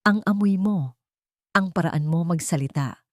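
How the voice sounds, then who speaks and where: A woman's voice: on the low side, unhurried, no room sound, studio quality, lightly acted. Woman voicing a stern, suspicious rich father. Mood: troubled, wondering. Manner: sharp, interrogating.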